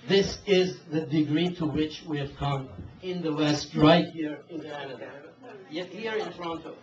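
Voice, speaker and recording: Halting man, distant and roomy. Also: on the high side. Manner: halting, many fillers and restarts. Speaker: interviewer. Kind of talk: hesitant spoken question to a speaker. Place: event hall. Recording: distant mic, dull, roomy hall with hiss.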